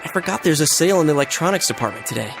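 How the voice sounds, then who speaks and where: An American man voicing an earnest, excitable teenage schoolboy in a video game, talking in a casual, expressive way. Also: fairly high pitch, racing, gravelly. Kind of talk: English video game voice acting. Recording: background music and crowd chatter behind.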